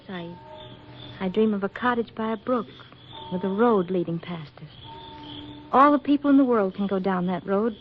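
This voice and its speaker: An actress playing a flippant, independent career-woman photographer with a soft romantic streak, in 1940s radio drama style. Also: medium pitch, natural voice. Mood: wry, wistful. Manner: breezy, flippant banter. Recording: muffled, noisy 1940s radio recording.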